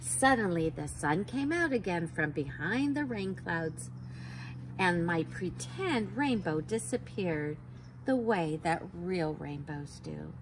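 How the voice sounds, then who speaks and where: A woman in her forties reading a picture book aloud to young children outdoors, slow and sing-song, sounding out letters and gasping for effect. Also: fairly low pitch, lively intonation, noisy background. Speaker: storytime reader for children. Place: backyard patio outdoors by a wooden fence.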